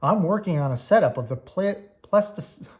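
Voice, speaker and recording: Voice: mid-range voice, animated, normal speed, a little mellow, voice pushed up, natural voice. A storybook reader voicing a defensive, bossy young boy bear cub who protests emphatically.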